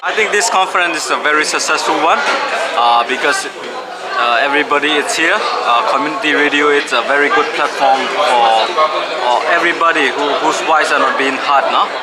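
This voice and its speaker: A man's voice: a little high, fairly quick. A man from Myanmar speaking earnestly as a conference delegate in an interview, often repeating words as he searches for his phrasing. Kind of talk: interview answer. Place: busy conference venue. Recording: loud crowd and noise behind.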